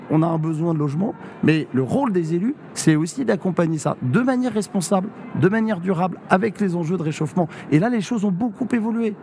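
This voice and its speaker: A man's voice: a little high, darkish tone, slight background noise. Man talking policy with lots of ums. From Normandy, France. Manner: hesitant, many fillers and restarts, firmly emphatic. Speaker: district mayor, interview guest. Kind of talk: political interview on radio.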